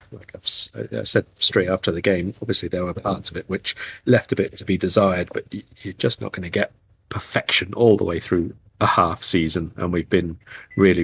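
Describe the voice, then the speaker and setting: A man co-hosting a football podcast, talking in a measured, even-tempered way. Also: deep voice, breathy edge, low-quality recording. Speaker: podcast co-host. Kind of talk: casual football podcast chat.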